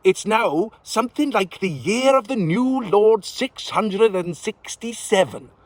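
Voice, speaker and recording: A man's voice: sits high, animated, no room sound, pitched above the performer's own voice. A man voicing a sweet old witch woman, crowing and laughing as she talks. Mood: amused. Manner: crowing, cackling laughs, drawn-out speech.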